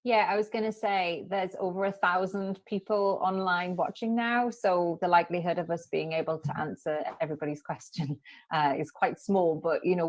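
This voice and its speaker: A woman's voice: fairly low pitch, no echo. Encouraging woman co-hosting a webinar. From northeast England. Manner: friendly, encouraging, laughs easily. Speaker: co-host of webinar. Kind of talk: casual webinar presenting.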